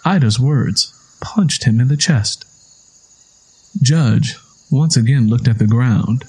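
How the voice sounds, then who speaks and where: A man's voice: mid-range voice, slow pace, a little mellow, slightly rough. A man reading scripted dramatic narration as the storyteller of an audio drama.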